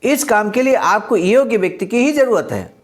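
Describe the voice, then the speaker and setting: Presenter for read-aloud speech with commentary: mid-pitched voice, instructive, stresses points through repetition. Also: expressive, brisk. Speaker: presenter reading and explaining a historical speech.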